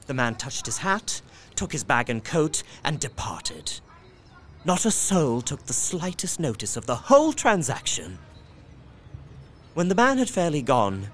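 An actor narrating as a young Victorian man writing his journal, measured and earnest, over faint background noise. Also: mid-range voice, a bit bright, close to an everyday voice. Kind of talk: audio drama narration.

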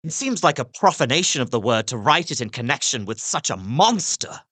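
A man playing an earnest, anguished young English gentleman held prisoner, narrating his diary dramatically in an audio drama. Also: mid-range voice, very animated, a little fast, husky, no echo, heavily acted. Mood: indignant, pleading. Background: music under the voice.

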